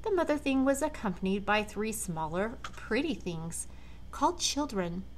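An older woman, a grandmother, reading a children's storybook aloud with dramatic, deliberate phrasing. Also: medium pitch, expressive, slight background noise. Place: large living room at home.

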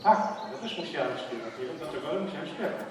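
A man's voice: a little high, animated, fast talker, a crowd in the background, natural voice. A man playing a blustery, pipe-smoking forester in a children's stage play. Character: bluff male forester with a pipe. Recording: echoey hall from far off with audience.

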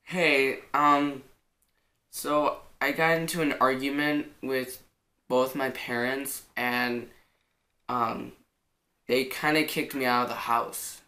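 Bright-voiced young guy. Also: mid-range voice, little pitch movement, slow pace. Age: teenager or young adult. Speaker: prank caller in a challenge video. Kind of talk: casual chat and prank phone calls. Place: indoors in a living room on a couch. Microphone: camera a short distance away.

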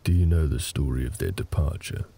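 A man playing a gentle, big orc husband in a comfort roleplay audio, telling a story in a hesitant, reassuring, gravelly way. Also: a little hiss or hum. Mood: calm storytelling. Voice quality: very deep, gravelly. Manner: slow, hesitant, reassuring, conversational.

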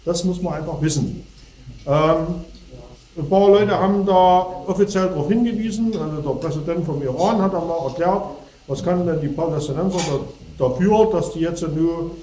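A man's voice: fairly high pitch, normal speed, warm tone. A middle-aged man with a cold, lecturing to an audience while standing and gesturing. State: has a cold. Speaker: speaker. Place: darkened hall before a projection screen. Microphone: far camera. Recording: echoey hall from far off.

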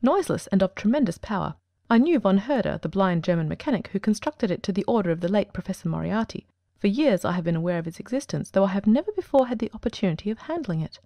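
Lady doing cool brainy detective man, super quick, soft-edged tone, formal audiobook. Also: mid-range voice, animated, no room sound, lightly acted. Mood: assured, dry. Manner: crisp, formal, commanding. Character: coolly self-assured, precise male detective genius. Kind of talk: dramatic audiobook reading.

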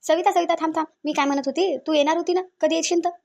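A woman's voice: extremely high pitch, steady tone, very fast, gravelly, darkish tone. A woman voicing a fussy older village mother chatting on the phone in a cartoon, with a Varhadi Marathi accent. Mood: fretful. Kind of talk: cartoon voice acting.

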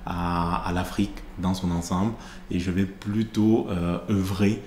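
A man in his thirties from Cameroon, being interviewed on a podcast and answering in a measured, thoughtful way with frequent hesitations, into a studio microphone. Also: low voice, steady tone, darkish tone, reverberant, a little hiss or hum. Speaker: podcast host, interviewed guest. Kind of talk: conversational interview. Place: studio set with plants and glass-block wall. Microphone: studio mic on stand.

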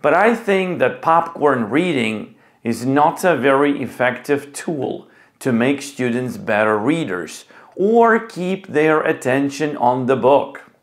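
A middle-aged man speaking as an English teacher, with deliberate, clearly enunciated delivery. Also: medium pitch, lively intonation. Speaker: ESL teacher and video host. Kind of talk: presenting to camera and leading a children's class.

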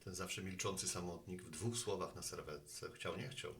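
A man's voice: deep voice, moderate pace, a bit bright, slight background noise. A man playing a genial, philosophizing postman in a Polish audio drama, speaking colloquially and thoughtfully. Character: genial male postman, a chatty homespun philosopher.

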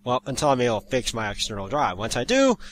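A man hosting a let's play, giving hyper, goofy and excitable video game commentary with theatrical outbursts. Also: medium pitch, fast talker.

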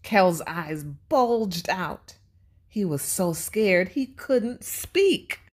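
A woman narrating a children's storybook and teaching young viewers in an animated, instructive way. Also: fairly low pitch, drawn-out words. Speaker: children's read-aloud host.

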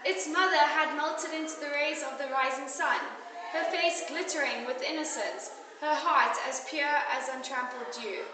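A woman's voice: sits high, normal speed. A teenage girl giving a school oral presentation, reading carefully from her notes with small restarts. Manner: careful, read-aloud delivery with small restarts. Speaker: student presenter. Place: classroom in front of a projector screen. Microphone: far camera. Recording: echoey classroom from far off, noisy.

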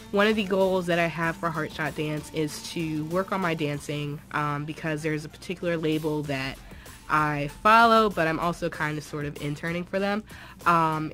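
A chatty young woman with a low, deep voice talks eagerly to a phone held at arm's length, filling her sentences with "um" and "like." She is in a small room at home, and faint music plays behind her. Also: a bit bright. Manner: chatty and enthusiastic, many fillers like "um" and "like". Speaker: dance group member. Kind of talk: casual vlog chat.

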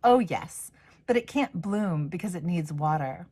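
A woman's voice: lowish voice, expressive, a little slow, warm tone, breathy edge. A woman voicing a hopeful, determined little girl in a storybook, earnest and eager.

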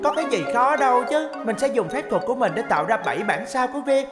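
A woman voicing a chubby, boastful, food-loving boy magician in an animated cartoon, with a southern Vietnamese accent and exclamatory delivery. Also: on the high side, quick pace. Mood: boastful, gleeful. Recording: music behind the voice.

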